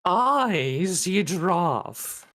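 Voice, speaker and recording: A woman's voice: lowish voice, very animated, extremely slow, a bit bright, no echo, studio quality, full character voice. A woman playing a half-blind, doting elderly former actress at a table read, fussy, coaxing and theatrical. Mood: coaxing, reassuring.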